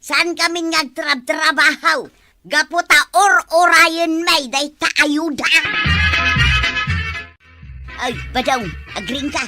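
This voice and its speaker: A woman plays a nagging elderly Ilocano villager in a comedy drama. Her fretful voice is extremely high and piercingly bright, with faint music behind it. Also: measured pace, heavily acted. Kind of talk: broad barangay comedy acting.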